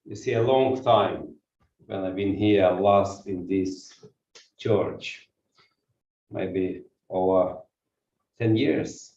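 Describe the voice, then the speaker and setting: A man's voice: low voice, fairly even intonation, very slow, long pauses, darkish tone. A man from Ukraine with a Ukrainian accent, preaching a sermon and putting questions to the congregation. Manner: walks while preaching, asks the congregation questions. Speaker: guest pastor. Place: church hall. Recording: echoey church from far off, dull sound.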